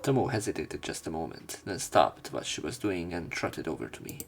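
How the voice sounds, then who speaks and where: Guy doing a visual novel lets play, lowish gravelly voice, reads the story out and chats over it. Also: very animated, moderate pace, slight background noise. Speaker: let's play host. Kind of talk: reading visual novel lines aloud with casual commentary.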